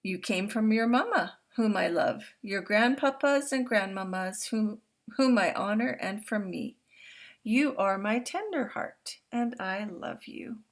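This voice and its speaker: A woman reading the lines of a loving father who gently reassures his son, from a picture book. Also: mid-range voice, lively intonation, measured pace. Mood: tender. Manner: tender, reassuring promises. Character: loving Maasai father, steady and protective. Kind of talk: read-aloud picture book.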